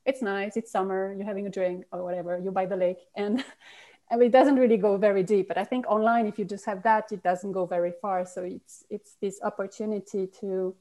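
A woman speaking English answers as an interview guest in a mellow, warm voice. She thinks aloud, circling back with fillers and restarts as she talks. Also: mid-range voice, steady tone, fairly quick. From Switzerland. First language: French. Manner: reflective, rambling with many restarts and fillers. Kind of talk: casual conversational interview.

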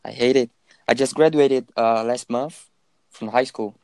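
A man's voice: mid-range voice, steady tone, unhurried, a little mellow, smooth, dry recording. An eighteen-year-old man from Brazil whose first language is Portuguese, chatting casually and searching for words. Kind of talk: casual chat on a call.

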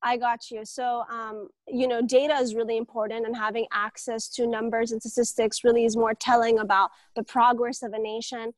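A woman's voice: fairly high pitch, little pitch movement, brisk, few pauses, no room sound. A young woman, an interview guest who talks enthusiastically, fluently, and with frequent fillers and restarts. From Saudi Arabia. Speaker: guest, startup accelerator founder. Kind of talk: casual interview conversation.

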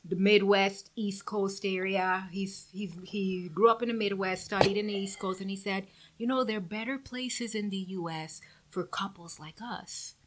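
A woman's voice: mid-range voice, steady tone, normal speed, few pauses, poor audio. A woman in her forties from Guyana with a Guyanese accent, a guest talking candidly and earnestly in an interview.

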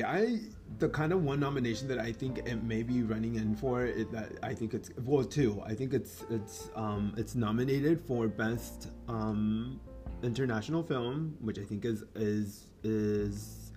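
Podcast host, male, Mexican-born, Spanish first language; mid-pitched, rambly film chat over faint music. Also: measured pace, a little mellow. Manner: rambling, many fillers and restarts, swears casually. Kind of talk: casual film review chat.

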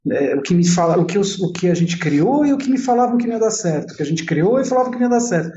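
A man in his forties with a São Paulo accent speaks in a slightly high, mellow voice. He chats informally as an interview guest, looping back to rephrase as he recounts his life. The sound is roomy and a little dull. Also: expressive, racing, a little hiss or hum. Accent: São Paulo Brazilian. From Italy and São Paulo, Brazil. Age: 40s. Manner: talkative, informal, repeats and rephrases himself. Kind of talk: casual autobiographical storytelling.

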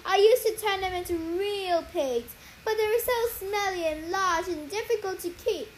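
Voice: extremely high pitch, normal speed, slight background noise, voice pushed up. A young reader voicing a scheming sorceress who talks sweetly but cruelly, scolding and condescending. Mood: gloating. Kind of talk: story reading aloud.

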